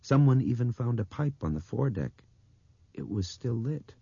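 Audiobook youth, played by a male narrator: earnest, hesitant, full of wonder, pitch rising and falling widely. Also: sing-song, normal speed, creaky, mellow, slightly rough, no echo, lo-fi sound, full character voice. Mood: slow wonder. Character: earnest, thoughtful young man piecing together a mystery.

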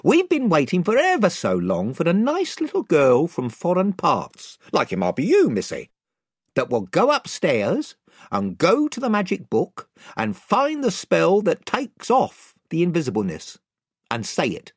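A man's voice: a little high, huge pitch swings, measured pace, husky, no room sound, studio quality, pitched above the performer's own voice, exaggerated voice. A man voicing a bumbling, long-winded male village chief who is invisible, talking in a folksy, rambling way. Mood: blandly menacing. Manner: rambling, folksy, comically polite threats. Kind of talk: audiobook reading.

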